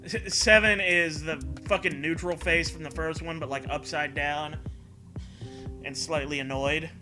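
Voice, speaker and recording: A man's voice: a little high, fairly even intonation, unhurried, music in the background. A man co-hosting a comedy show, giving casual commentary in a crude, profane, riffing manner.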